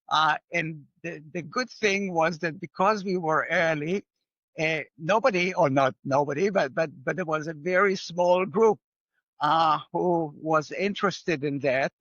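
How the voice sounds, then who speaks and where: An older man with an Israeli accent, a finance professor telling stories in a measured, wry way as a guest in an interview. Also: a little high, a bit bright, no room sound, dull audio. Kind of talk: conversational interview.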